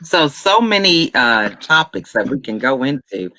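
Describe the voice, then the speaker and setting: A woman's voice: very deep voice, very animated, a little slow, sharp tone, dry recording, poor audio. A woman hosts an interview over a video call. She sounds upbeat and chatty and slips in an "uh" or "um" now and then. Her voice comes through dry and close, with no room around it. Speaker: host. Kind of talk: casual interview chat.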